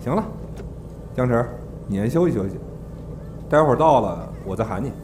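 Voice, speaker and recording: Lively, bantering male talent manager played by an actor. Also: medium pitch, moderate pace, noisy background, close to an everyday voice. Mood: casual. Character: brisk, teasing male talent manager of a film star. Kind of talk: Mandarin audio drama acting. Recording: music behind the voice.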